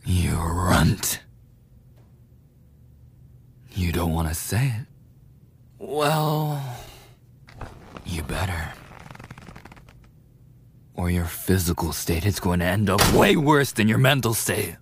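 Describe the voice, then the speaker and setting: A man's voice: deep voice, big pitch swings, slow pace, long pauses, husky, full character voice. A man playing a cruel, mocking teenage delinquent bully in a dramatic roleplay audio, with a taunting, sneering, menacing delivery. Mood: demanding, irritated.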